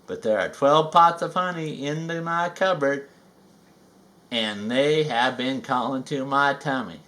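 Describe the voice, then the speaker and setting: A man voicing a gentle, hungry bear in a children's storybook, mild and earnest. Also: mid-range voice, unhurried.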